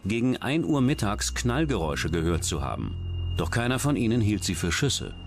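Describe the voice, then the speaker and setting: A man reading scripted true-crime documentary narration in a measured, matter-of-fact manner. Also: lowish voice, big pitch swings, noisy background. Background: background music.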